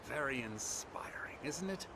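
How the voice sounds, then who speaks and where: An actor playing a smug, manipulative middle-aged psychiatrist in a video game, with a pompous, patronizing manner. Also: male voice, mid-range voice, expressive, measured pace, in a whisper. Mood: smug, grandiose. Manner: pompous, patronizing, smooth-talking. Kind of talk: dramatic video game dialogue. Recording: loud ambient noise behind.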